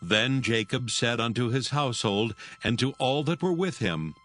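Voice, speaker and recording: A man narrates scripture from a script in a measured, solemn way. His pitch is average for a man, and music plays clearly behind him. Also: expressive, a bit bright.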